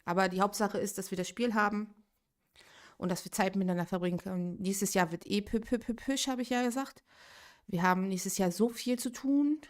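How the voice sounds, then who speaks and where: A woman in her late thirties talks casually on her live game stream, thinking out loud and answering viewers as she goes. Her voice sits in an ordinary middle pitch, close to a desktop streaming microphone. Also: a little fast. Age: 30s. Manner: chatty, thinks aloud, reacts to chat.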